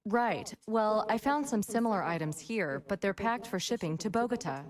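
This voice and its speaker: Actress as a dogged FBI agent, courteous, probing, slightly distant on mic. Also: female voice, medium pitch, animated, moderate pace, lightly acted. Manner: polite, probing questions. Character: persistent female FBI agent. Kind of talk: video game voice acting.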